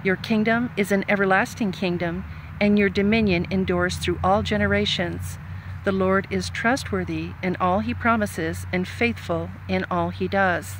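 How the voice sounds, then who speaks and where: Female scripture reader, mid-pitched voice, reading aloud with faint ambient noise behind. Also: steady tone, moderate pace, rough recording.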